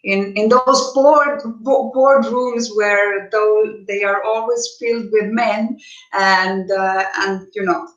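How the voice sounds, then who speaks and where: A woman's voice: medium pitch, fairly even intonation, takes it slowly, echoey room, lo-fi sound. A woman from Estonia, a business coach, giving hesitant conversational interview answers with many restarts.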